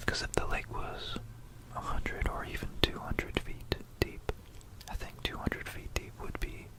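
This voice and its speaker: Very slow, hushed ASMR whisperer. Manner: hushed, informal, musing, self-correcting. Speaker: ASMR video creator. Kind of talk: whispered ASMR history talk. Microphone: close stereo binaural microphone.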